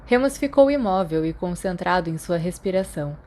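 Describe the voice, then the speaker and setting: A woman's voice: lowish voice, lively intonation, fairly quick, a little mellow. A woman with a Brazilian accent reading scripted story narration as an audiobook narrator.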